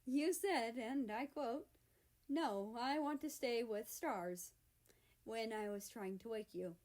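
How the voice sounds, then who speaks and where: A woman's voice: on the high side, takes it slowly, a little mellow. Woman reading as a playful, teasing young man.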